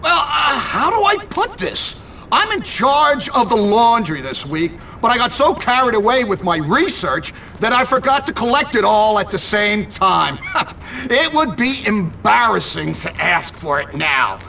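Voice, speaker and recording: A man's voice: sits high, sing-song, unhurried, vocal fry, pushed and bright, far from the mic, slight background noise, exaggerated voice, poor audio. A man playing a shifty middle-aged school teacher in a video game, blustering, stammering and evasive. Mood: flustered, evasive. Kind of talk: video game cutscene acting.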